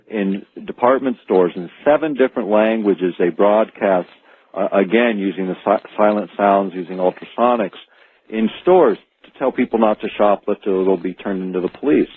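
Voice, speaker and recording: A man speaking as an expert guest on a radio call-in show, explaining things in a halting but emphatic way. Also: lowish voice, breathy edge. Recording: tinny phone-like radio line.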